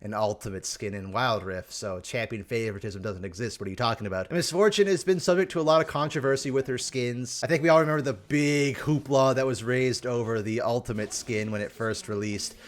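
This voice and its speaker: A man's voice: mid-range voice, a little fast, hardly any pauses. A man hosting a game review with casual, rambling gameplay commentary and sarcastic asides. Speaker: host of a game review series.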